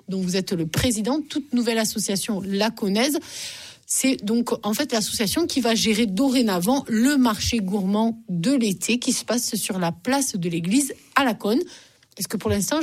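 A woman hosting a local radio magazine interview, chatty and quick to laugh, with lots of fillers. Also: a little high.

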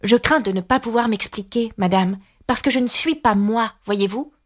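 A woman's voice: a little high, normal speed, voice pushed up, natural voice. A woman playing a polite, earnest young storybook girl who explains herself at length and is easily puzzled.

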